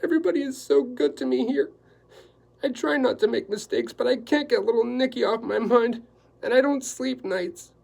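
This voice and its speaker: A man reading aloud and voicing a nervous, tearful woman housekeeper who talks in a high, fretful whimper. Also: steady tone, normal speed, creaky, dark tone, heavily acted, poor audio. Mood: anxious, fretful.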